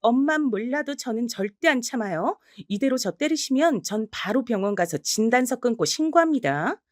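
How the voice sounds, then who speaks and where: A woman's voice: a little high, animated, normal speed, dark tone, no room sound, clean studio sound, pitched above the performer's own voice. A woman voicing a grown, married daughter who talks back sharply and defiantly.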